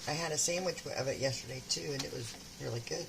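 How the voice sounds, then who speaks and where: A woman's voice: sits low, fast talker, creaky. An older woman with a sore throat, chatting homily as she cooks in her kitchen. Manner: homey, chatty, talks while cooking. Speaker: home cook. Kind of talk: casual cooking vlog. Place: home kitchen. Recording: loud kitchen sizzle noise. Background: frying and sizzling food.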